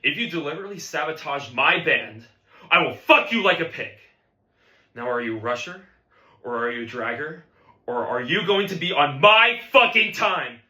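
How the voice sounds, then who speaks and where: Man acting ruthless bright-voiced jazz band conductor. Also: mid-range voice, expressive, normal speed, reverberant. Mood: commanding anger. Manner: barking, profane, interrogating. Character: ruthless, abusive male jazz band conductor, bullying and foul-mouthed. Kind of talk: dramatic monologue performance of a film script.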